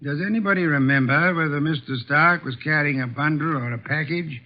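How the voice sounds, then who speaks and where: An actor playing a pompous, imperious, brilliant large detective in a theatrical old radio style. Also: male voice, a little high, a little fast, lightly acted. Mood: commanding. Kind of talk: 1940s-50s radio acting. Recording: muffled old radio recording.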